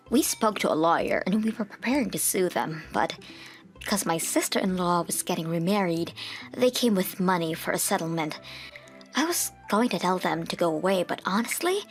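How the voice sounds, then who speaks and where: Casting: female narrator, lowish pitch, scripted first-person comic storytelling, music underneath. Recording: music behind the voice.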